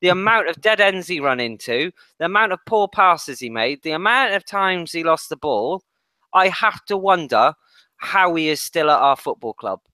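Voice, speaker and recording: A young man rants about football in a high, very lively voice. He is exasperated and swears often. His headset mic gives a close, dry sound on a video call. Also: moderate pace, clean tone, no room sound. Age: young adult. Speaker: podcast panellist. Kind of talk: casual football ranting.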